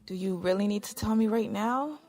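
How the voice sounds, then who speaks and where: A woman acting a sharp-tongued, jealous but caring lover in naturalistic audio drama dialogue. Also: mid-range voice, a little fast, close to an everyday voice. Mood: skeptical, jealous. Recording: music behind the voice.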